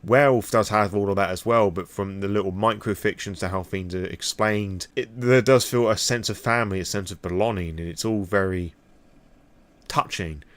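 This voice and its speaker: A British man with a fairly low voice talks straight to his audience in an unscripted vlog. He is candid and reflective, feeling his way through his thoughts and swearing casually as he goes. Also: normal speed, no room sound. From Britain. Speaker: vlogger. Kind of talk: impromptu personal talk to the audience.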